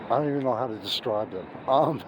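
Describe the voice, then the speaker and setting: A hoarse middle-aged man giving an emphatic, gushing music review, recorded outdoors on a city street at night, restarting his sentences often. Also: medium pitch, quick pace. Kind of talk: off-the-cuff video review. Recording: street noise and traffic behind.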